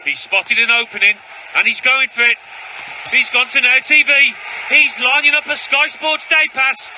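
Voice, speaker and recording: A man voicing a radio ad as excited sports commentary. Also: very high-pitched, moderate pace, rough recording. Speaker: sports ad announcer. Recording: ad with loud background noise.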